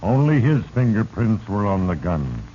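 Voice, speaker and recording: An actor playing sinister Fate, narrating a 1940s radio drama in a grave, portentous, accusing manner. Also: male voice, lowish voice, sing-song, moderate pace, warm tone. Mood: accusing, doom-laden. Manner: grave, portentous, accusing second-person address. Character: sinister, heavy-handed male Fate narrating men's doom. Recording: dull, noisy 1940s radio recording.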